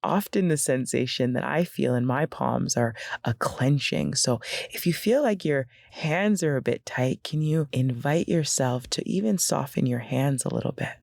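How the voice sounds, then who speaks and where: A woman leading a guided meditation in a gentle, unhurried, instructional way with pauses between phrases. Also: a bass voice, gravelly, no echo, studio quality.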